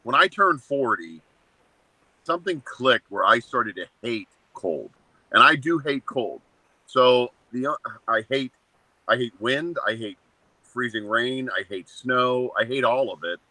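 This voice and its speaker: Blunt bright-voiced man in his seventies. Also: mid-range voice, measured pace, dry recording, poor audio. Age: 70s. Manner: blunt, joking, rants in short flat statements. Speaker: co-host. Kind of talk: casual chat.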